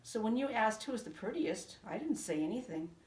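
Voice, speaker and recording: A woman voicing a small, shy, homely kitten, quiet and modest. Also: a little high, expressive, fairly quick, lightly acted. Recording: roomy echo, from a distance.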